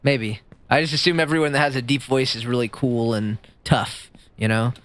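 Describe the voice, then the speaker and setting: A man streaming live with a squeaky voice, chatting with his chat in a rambling, jokey way that jumps up high when he gets excited. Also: moderate pace. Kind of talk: casual livestream chat reading chat. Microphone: streaming mic.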